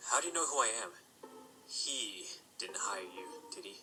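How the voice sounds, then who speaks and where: An actor playing a wary, sardonic young pony knight apprentice in an audio drama, terse and formal. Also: male voice, fairly low pitch, lively intonation, normal speed, over music, close to an everyday voice, low-quality recording.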